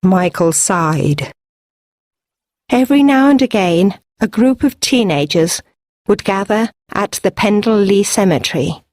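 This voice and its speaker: A woman reading a story for English learners, with careful, deliberate phrasing in a scripted audiobook style. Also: mid-range voice, lively intonation, darkish tone, no room sound, clean studio sound. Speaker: narrator.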